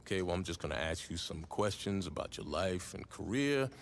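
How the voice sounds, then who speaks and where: An actor playing a jovial, chummy sports interview host in a game cutscene, with a joking, familiar manner and faint noise behind. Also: male voice, low voice, expressive, fairly quick, creaky. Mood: reassuring. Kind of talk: casual naturalistic game cutscene acting.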